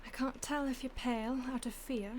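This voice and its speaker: Breathy, whispery ancient vampire woman, acted by a woman. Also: sits high, fairly even intonation, normal speed, darkish tone, slight background noise, close to an everyday voice. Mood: musing, detached. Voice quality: breathy, often dropping to a whisper. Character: ancient female vampire stalker, detached, teasing, world-weary predator. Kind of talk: intimate audio roleplay, ASMR-like acting addressed to the listener.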